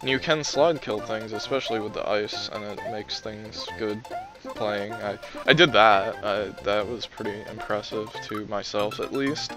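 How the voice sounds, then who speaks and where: A man's voice: fairly low pitch, measured pace, music in the background. A young man giving casual, rambling commentary over his gameplay, wry and self-deprecating, his voice strained from talking a long time. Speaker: let's play commentator.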